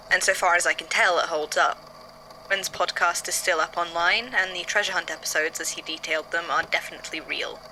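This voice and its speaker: A woman's voice: lowish voice, bright, forceful tone, slight background noise, low-quality recording. A woman playing an inquisitive, slightly harried male archivist who muses over case notes conversationally in an audio drama. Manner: conversational, musing, hesitant.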